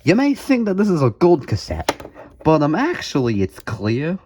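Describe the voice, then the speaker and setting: A man's voice: on the high side, wildly swooping pitch, moderate pace, background noise. A man hosting a music collection video, talking casually through his items in a playful, jokey way with mock emphasis. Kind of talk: casual show-and-tell vlog commentary.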